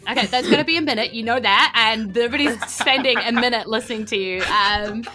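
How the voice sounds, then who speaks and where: A woman's voice: on the high side, quick pace, music in the background. A woman, narrating and hosting as game master in a chatty, theatrical way. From New Zealand. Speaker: game master and podcast host.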